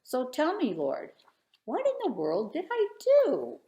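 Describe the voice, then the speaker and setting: A woman's voice: high-pitched, huge pitch swings, a little slow, mellow, lo-fi sound, pitched above the performer's own voice, full character voice. A woman voicing a suffering righteous man who pleads in rhyme. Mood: pleading. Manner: rhyming, protesting. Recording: roomy echo.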